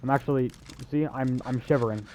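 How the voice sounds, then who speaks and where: A man's voice: medium pitch, a little fast, dark tone, a little hiss or hum. A young man giving casual, joking game commentary in a rambling, sarcastic way. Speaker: gamer.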